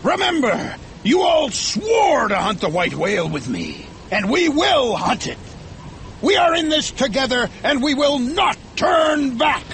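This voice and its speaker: A man voicing a fierce, obsessed sea captain in a storybook, declaiming defiantly in loud, drawn-out cries. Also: extremely high pitch, wildly swooping pitch, gravelly, slight background noise, voice pushed up, full character voice. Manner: shouting, drawn-out declamatory delivery. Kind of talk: dramatized storybook narration.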